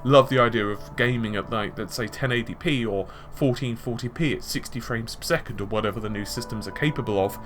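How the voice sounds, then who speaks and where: A man's voice: mid-range voice, a little fast, hardly any pauses. A man giving conversational tech and gaming commentary, explaining his points with examples and asides. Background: background music.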